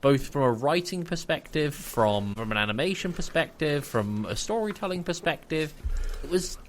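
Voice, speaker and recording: A man's voice: fairly high pitch, animated, normal speed, a little hiss or hum. A man giving his opinions casually as a podcast co-host.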